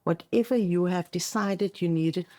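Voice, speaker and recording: A woman's voice: lowish voice, measured pace, dark tone. A middle-aged South African woman whose first language is Afrikaans, talking conversationally as a coach being interviewed on a podcast, making emphatic points and checking in as she goes.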